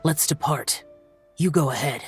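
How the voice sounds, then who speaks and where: An actor playing a reserved, serious young immortal guardian with a terse manner in an anime game. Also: male voice, on the high side, a little slow, a bit bright, soft background music. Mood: aloof.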